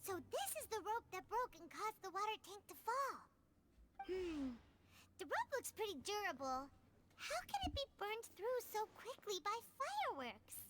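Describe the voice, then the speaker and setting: Small childlike game fairy: squeaky, eager, naive, swooping pitch, heavy game noise behind. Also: female voice, very animated, normal speed. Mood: puzzled. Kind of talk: anime game voice acting.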